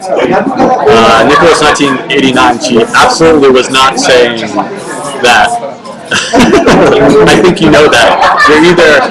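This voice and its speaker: A man's voice: mid-range voice, lively intonation, unhurried, pauses often, over a noisy crowd, rough recording. A young man hosting a casual livestream, answering viewer questions in a loose, rambling way with many filler words and restarts. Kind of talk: casual chat. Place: home webcam livestream.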